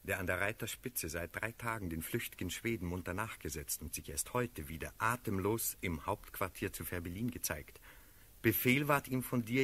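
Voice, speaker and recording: An actor playing a brisk, sardonic count and officer in a classical German radio drama, declamatory and emphatic. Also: male voice, on the low side, fairly bright tone, slightly rough.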